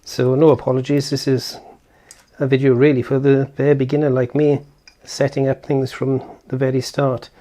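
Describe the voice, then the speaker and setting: A man's voice: medium pitch, completely flat delivery, moderate pace, dark tone. A man giving a casual, unscripted product unboxing and setup walkthrough, thinking aloud as he goes. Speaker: video host.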